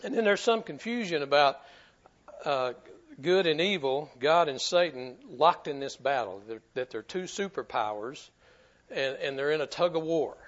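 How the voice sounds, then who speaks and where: Folksy pastor, dull recording. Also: male voice, fairly high pitch, expressive, normal speed. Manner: folksy, repeats himself, stumbles over words. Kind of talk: sermon.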